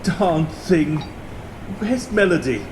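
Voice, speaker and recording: Man acting a slow, faltering old lord. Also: fairly high pitch, animated, noisy background. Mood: woozy, confused. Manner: faltering, stammering, feeble. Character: frail, muddled old aristocratic lord in a disaster. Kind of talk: audio drama acting. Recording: loud music behind.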